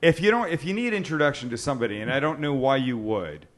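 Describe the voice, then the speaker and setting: A man's voice: medium pitch, lively intonation, fast talker, a bit bright. A man hosting an online cooking show, chatting casually and stretching out words for emphasis. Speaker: host of an online cooking livecast. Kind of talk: casual talk-show interview.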